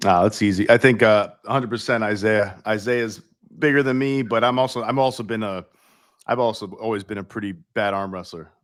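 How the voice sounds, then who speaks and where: A man's voice: lowish voice, fairly quick, a bit bright. A man co-hosting a podcast, chatting in a laid-back, joking way. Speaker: co-host. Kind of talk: casual chat.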